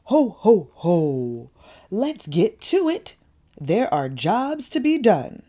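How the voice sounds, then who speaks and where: A woman acts out a storybook Santa, a big old man who is jolly and kind. The delivery is hearty and booming, with animated swings in pitch and an unrushed pace. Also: slow. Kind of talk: picture-book character voice.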